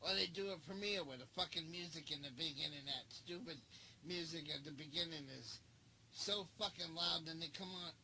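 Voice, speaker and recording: A man's voice: a little high, moderate pace, bright, forceful tone, a little hiss or hum, low-quality recording. A man who hosts a channel, ranting in a casual monologue, swearing a lot and repeating himself. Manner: profane, repetitive ranting. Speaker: channel host.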